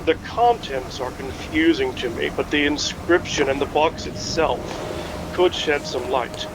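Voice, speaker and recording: An actor playing a knowledgeable history buff in an audio drama, explaining in measured, careful phrases. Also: male voice, on the high side, background noise, lightly acted. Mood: measured, informative. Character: knowledgeable, measured amateur historian named Steve. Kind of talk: naturalistic fiction podcast acting, explanatory.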